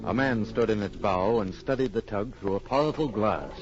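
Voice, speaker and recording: A male narrator of a vintage radio adventure, reading scripted story in a lowish voice. The recording is muffled, with prominent music. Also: normal speed.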